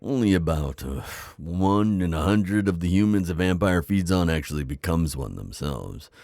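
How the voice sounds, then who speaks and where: A man playing a friendly, wise old vampire innkeeper in an ASMR roleplay, with a deep gravelly voice and a chatty, reassuring manner. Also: animated, moderate pace, darkish tone, dry recording, studio quality. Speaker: roleplay audio performer. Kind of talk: immersive ASMR roleplay acting.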